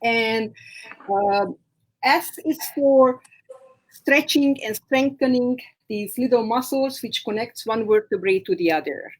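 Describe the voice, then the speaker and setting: A woman's voice: on the high side, low-quality recording. A middle-aged woman from Romania, whose first language is Hungarian, patiently explaining her therapy work as a guest in a live video-call interview, with frequent fillers. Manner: explains slowly with many fillers.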